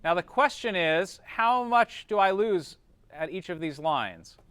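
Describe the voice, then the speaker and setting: Male instructor, higher-than-average pitch, crisp and dry sound, informal classroom explaining with frequent check-in tags. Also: lively intonation, unhurried, a bit bright, no echo. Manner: informal, checks understanding with short tag questions. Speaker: teacher. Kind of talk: classroom lesson, explaining step by step.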